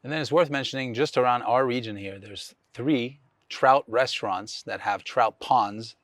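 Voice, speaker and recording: A man's voice: medium pitch, moderate pace, a bit bright, dry recording, studio quality. A man co-hosting a podcast, chatting in a casual, conversational way. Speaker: podcast co-host.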